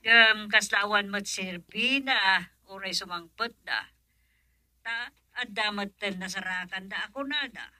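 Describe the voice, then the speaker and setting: A woman's voice: mid-range voice, measured pace, bright, forceful tone, no room sound. An actress with a northern Philippine accent playing an insistent middle-aged mother of a grown son in a radio drama, emphatic and impatient. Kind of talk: Ilocano radio drama acting.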